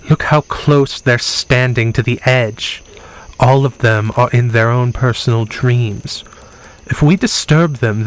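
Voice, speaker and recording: An actor playing an enigmatic, cool-headed professor in a horror audio drama, measured and analytical. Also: male voice, mid-range voice, natural voice, low-quality recording. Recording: faint music behind.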